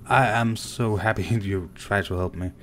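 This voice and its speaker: A low-voiced Danish man talks slowly through a game stream, murmuring to himself as he plays and answering viewers. Faint game ambience sits behind him. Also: a little hiss or hum. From Denmark. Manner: soft-spoken and unhurried, muttering while playing. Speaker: gaming streamer. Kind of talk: live gameplay commentary reading chat.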